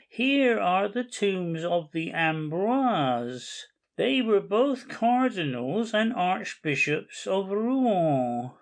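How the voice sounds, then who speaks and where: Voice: medium pitch, full character voice. An audiobook reader playing a pedantic, tireless male cathedral guide, declaiming facts in a dramatic reading. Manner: slow, declamatory recital of dates and facts.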